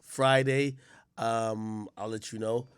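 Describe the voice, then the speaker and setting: A man hosting his own live stream, talking casually and with a lot of swearing as he addresses his chat directly. His voice sits at an ordinary pitch. The sound is close and dry, with no room around it. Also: level tone, takes it slowly, clear, resonant tone, dry recording. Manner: loose, profane, talks straight to chat.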